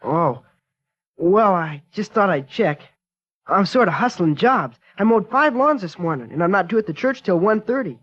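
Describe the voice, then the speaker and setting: An eager, polite teenage boy with a high, youthful voice. He is keen to help. The recording is dull and lo-fi, like an old radio broadcast. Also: animated, moderate pace, a bit bright. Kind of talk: 1940s radio acting.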